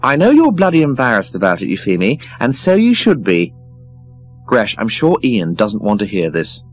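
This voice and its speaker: A man's voice: on the low side, huge pitch swings, moderate pace, faint music behind. A man reading a story, voicing a brash, mocking young woman who swears freely and gushes over men. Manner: scornful, gushing, swearing freely.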